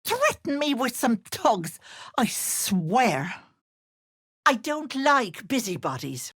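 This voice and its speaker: A woman's voice: a little high, huge pitch swings, takes it slowly, a bit bright, breathy edge, dry recording, clean studio sound, full character voice. A woman voicing a sly old hag in a video game, sweet-talking with cloying endearments and veiled menace. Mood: scornful, scolding. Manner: cloying endearments laced with threats. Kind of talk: video game character voice lines.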